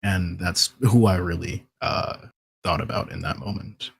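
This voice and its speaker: A man talking casually and reflectively as a podcast guest, explaining things in a measured way. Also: sits low, steady tone, creaky, fairly bright tone, husky, dry recording, clean studio sound.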